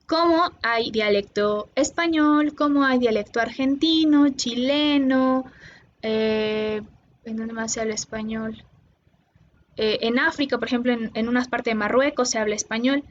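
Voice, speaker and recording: A Mexican woman tutoring linguistics online, explaining patiently and pausing often with "eh". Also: a little high, highs cut off, a little hiss or hum. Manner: patient, explanatory, with many "eh" pauses.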